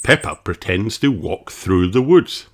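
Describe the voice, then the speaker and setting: Animated, unhurried male storybook narrator. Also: low voice. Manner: measured, animated read-aloud. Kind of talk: scripted children's story narration.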